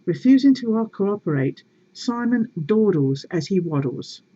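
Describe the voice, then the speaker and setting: Woman doing storytime, soft mellow kinda husky voice, wry expressive reading, dull lo-fi home recording. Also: mid-range voice, a little slow. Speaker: storyteller reading a book aloud to viewers. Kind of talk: read-aloud storytelling with casual asides.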